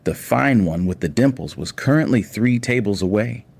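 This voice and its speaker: A man's voice: lowish voice, expressive, normal speed, creaky, darkish tone. A man reading scripted narration for an audio story.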